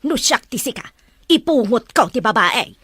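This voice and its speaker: An actress as a sharp, indignant meddling mother. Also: a little high, lively intonation, moderate pace, husky. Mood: scolding. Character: indignant, meddling mother, quick to scold and fight. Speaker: actor. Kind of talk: melodrama dialogue.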